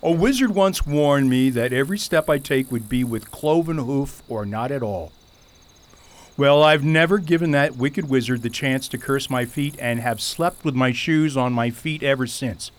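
A man's voice: medium pitch, normal speed, lightly acted. A man playing a pompous, foppish goat king in a radio play, with florid, theatrical, wordy speech. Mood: pompous, dismissive.

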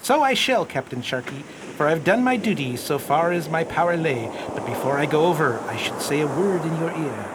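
An actor playing a brave, defiant merchant ship captain with a formal, dignified delivery in an audio drama. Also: male voice, animated, moderate pace, hardly any pauses, lightly acted. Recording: very noisy audio with heavy rumble.

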